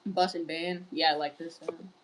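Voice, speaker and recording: A woman's voice: lowish voice, fairly even intonation, normal speed, low-quality recording. A woman streaming live in casual chat, reading viewer messages aloud and answering them. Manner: wearing large headphones. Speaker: livestreamer.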